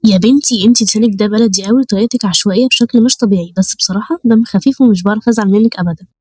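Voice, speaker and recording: A woman voicing a calm, kindly male police major who speaks politely with an Egyptian accent. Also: medium pitch, racing, no echo, lightly acted. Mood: amused.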